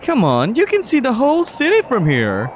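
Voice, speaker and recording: A man voicing a cheerful, eager young man who acts as a tour guide, with a bubbly, excitable manner. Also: very high-pitched, normal speed, noisy background, voice pushed up, exaggerated voice.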